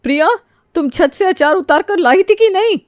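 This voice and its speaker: A woman's voice: very high voice, expressive, normal speed, no room sound, clean studio sound, pitched above the performer's own voice. A woman voicing a stern middle-aged mother-in-law who complains and scolds in a moral storybook tale. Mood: scolding, curt. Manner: complaining, sharp-tongued. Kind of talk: animated moral storybook dialogue.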